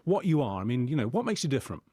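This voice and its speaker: A male radio host with a quick delivery and lively, swinging intonation. He chats and jokes his way through questions in a rambling, conversational style. The sound is dry and close, from a studio microphone. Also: medium pitch, slightly rough, dry recording. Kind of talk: chatty live radio interview.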